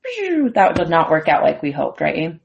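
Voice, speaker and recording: A woman's voice: sits low, huge pitch swings, normal speed, vocal fry, highs cut off, noisy background. Chatty young woman hosting with folksy exclamations. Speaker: host of a show with relatives. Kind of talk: casual group chat.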